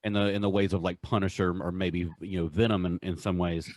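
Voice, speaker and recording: Man, low voice, casual podcast co-host, halting and enthusiastic, very dry close sound. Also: level tone, darkish tone, dry recording. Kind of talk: casual chat, countdown commentary.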